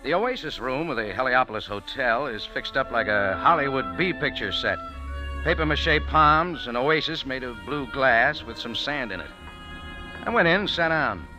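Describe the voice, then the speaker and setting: Radio noir lead, male actor: wry American tough guy, mid-pitched, casual first-person storyteller with lively inflection. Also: normal speed, over music, close to an everyday voice, poor audio. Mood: wry, suspicious. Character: wry, hard-boiled American café owner turned adventurer. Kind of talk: noir first-person narration, old-time radio acting.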